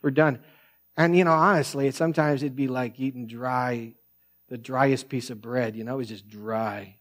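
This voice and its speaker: A man with a soft voice preaching a sermon, telling stories in an earnest, conversational way. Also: medium pitch, steady tone, moderate pace. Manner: earnest, conversational storytelling with frequent restarts. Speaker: pastor.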